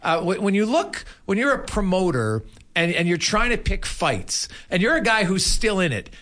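A man's voice: sits high, very animated, moderate pace, a bit bright. A man, chatting casually and in a rambling, opinionated way as a sports interviewer. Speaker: sports radio host and interviewer.

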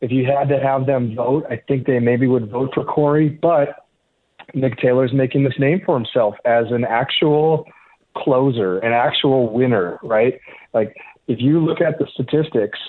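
A man giving measured, explanatory opinions as a golf journalist in a conversational radio interview. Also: mid-range voice. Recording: thin, tinny phone-like connection.

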